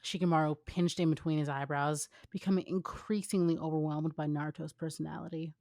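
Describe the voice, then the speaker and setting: Young woman narrating in a low, dry sound. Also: steady tone, a little fast, darkish tone, no echo. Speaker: co-host, fan fiction reader. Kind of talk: informal story narration with banter.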